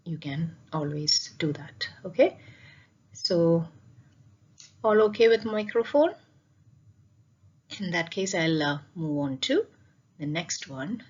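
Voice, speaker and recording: A woman's voice: deep voice, husky, dull audio. A woman teacher explaining a lesson over slides, repeating key points and posing questions as she goes. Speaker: physics teacher.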